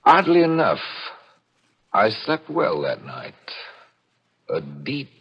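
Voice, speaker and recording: An actor playing a coldly scheming husband in a 1940s radio thriller, deliberate, menacing and smugly self-satisfied. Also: male voice, on the low side, wildly swooping pitch, breathy edge, heavily acted. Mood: smug, brooding. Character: coldly scheming husband confessing his perfect murder. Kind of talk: 1940s radio thriller acting and first-person narration. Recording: dull old radio recording, music behind.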